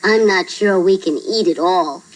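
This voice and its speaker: A woman's voice: fairly low pitch, unhurried, poor audio. A woman voicing a matter-of-fact, bossy young girl in a children's storybook read-aloud. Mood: mild.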